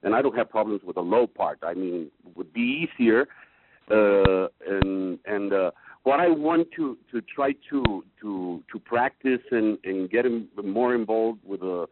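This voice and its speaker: A man with a baritone voice, a singing student talking in a halting way that restarts words often. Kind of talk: casual chat in a lesson. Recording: tinny call line, faint hiss.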